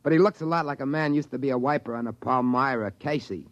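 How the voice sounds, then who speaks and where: An actor playing an easygoing, folksy assistant manager of a trading post, with drawling, ungrammatical speech, in 1950s radio drama style. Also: male voice, medium pitch, quick pace, lightly acted. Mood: explaining. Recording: dull old radio recording.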